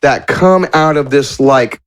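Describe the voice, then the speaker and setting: A man's voice: mid-range voice, takes it slowly, clear, resonant tone, dry recording, studio quality. A man narrating a tabletop roleplaying game as game master in a casual, playful, improvised way.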